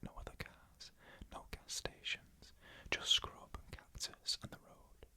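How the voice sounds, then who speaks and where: Whispered ASMR horror narrator: very bright, crisp-edged, breathy, measured phrasing, fully hushed throughout.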